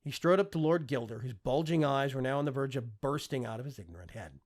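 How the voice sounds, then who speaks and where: Male audiobook narrator: dramatic and emphatic, mid-pitched husky voice, very expressive intonation. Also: fast talker. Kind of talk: dramatic scripted fiction narration.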